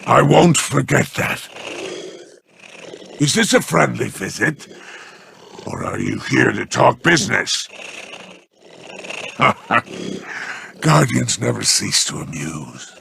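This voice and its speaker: An actor voicing a smooth, scheming male alien crime boss in a video game, talking in a silky, sly, theatrical way. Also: mid-range voice, sing-song, slow, long pauses, sharp tone, slight background noise, full character voice.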